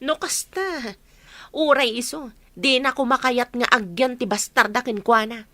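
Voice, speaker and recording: Woman as a bright, high-voiced, bitter wife. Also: a little slow, no room sound. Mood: scornful. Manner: scornful, sharp, complaining. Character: hard-hearted, bitter wife scorning her husband's illegitimate child.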